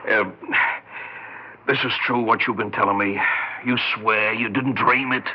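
A man acting a gruff American policeman with a soft heart, in an old radio play. His voice is fairly low and his talk is plain and colloquial, with hesitations. The recording is muffled, like a 1960s broadcast. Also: steady tone, measured pace, slight background noise, natural voice. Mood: reluctant. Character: gruff but soft-hearted working cop, reluctant protector.

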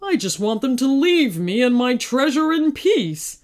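A woman voicing a huge, bitter, wary dragon in a children's story, snapping out slow, emphatic words. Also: high voice, sing-song, darkish tone. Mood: grudging, apologetic.

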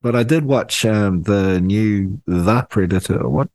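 Man, podcast co-host, deadpan, giggly, lowish voice, dry mic. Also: a little slow, no room sound. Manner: deadpan, giggly, feeds jokes. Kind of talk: casual podcast banter. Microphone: remote call mic.

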